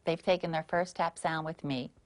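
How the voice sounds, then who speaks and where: A woman's voice: deep voice, steady tone, normal speed, a little mellow. A woman tap dance teacher telling her own story in an earnest, personal way during an interview.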